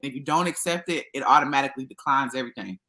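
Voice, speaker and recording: A woman hosting a casual livestream, chatty and expressive, talking to her viewers as y'all. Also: a bass voice, racing, poor audio. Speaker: YouTube livestream host.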